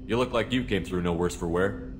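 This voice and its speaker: An actor playing a male military commander in a video game, with an even, measured delivery. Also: deep voice, over music, lightly acted. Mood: steady. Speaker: ship commander.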